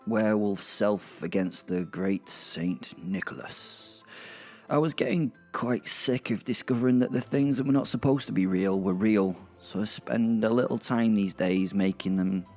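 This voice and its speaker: Man playing British werewolf narrator, fairly low, sardonic, sweary, rambling, confiding, audio drama, soft music underneath. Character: sardonic, foul-mouthed male werewolf serial killer narrator. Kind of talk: dramatised first-person narration to listeners.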